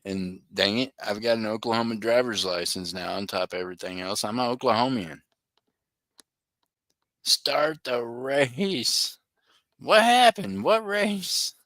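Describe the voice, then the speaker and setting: Bright, chatty male auction host. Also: mid-range voice, expressive, a little slow, no echo, clean studio sound. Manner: chatty, joking, talks to viewers by name. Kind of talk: casual livestream chat.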